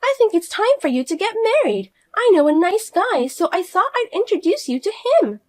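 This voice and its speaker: A woman voicing a spoiled, arrogant sister-in-law who talks down to everyone in a shrill, scolding way. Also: sing-song, brisk. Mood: indignant. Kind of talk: audio story voice acting.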